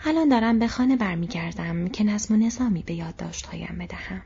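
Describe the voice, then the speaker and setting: Calm young hobbit, soft and breathy, voiced by a woman, muffled recording. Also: medium pitch, exaggerated voice. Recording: dull lo-fi recording.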